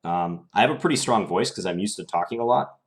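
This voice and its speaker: Deep-voiced man commentating chess live. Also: fast talker. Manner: relaxed, thinking aloud with many fillers. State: voice slightly strained. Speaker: chess streamer.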